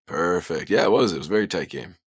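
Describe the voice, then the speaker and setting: A young man with a hoarse voice, hosting a casual sports podcast with jokey, self-deprecating banter. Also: sits low, lively intonation, fast, no room sound, studio quality. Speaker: podcast host.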